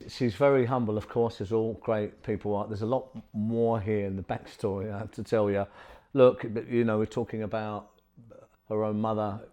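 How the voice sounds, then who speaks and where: A man's voice: lowish voice, fairly even intonation, moderate pace, dark tone. Effusive rambling male podcast host. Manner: effusive, rambling, many fillers. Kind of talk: interview. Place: studio.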